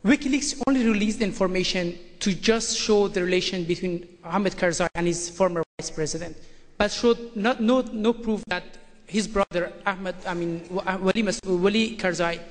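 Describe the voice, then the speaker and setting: A woman asks a question from the audience at a debate. She speaks haltingly, and her voice echoes in a large hall. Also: medium pitch. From Afghanistan. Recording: reverberant hall.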